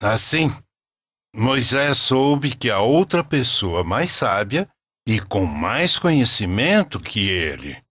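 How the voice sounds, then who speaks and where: A man with a Brazilian accent narrating a scripted religious story in a solemn, measured storytelling manner. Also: mid-range voice, big pitch swings, fairly bright tone, dry recording.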